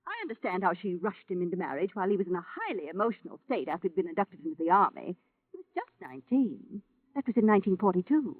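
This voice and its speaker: A woman's voice: mid-range voice, sing-song, fast, slightly rough. An actress in a vintage radio drama playing a possessive, prying mother of a grown son, formal and reproachful. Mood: cold, bitter. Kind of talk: 1940s-50s radio drama acting. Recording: muffled vintage radio broadcast recording.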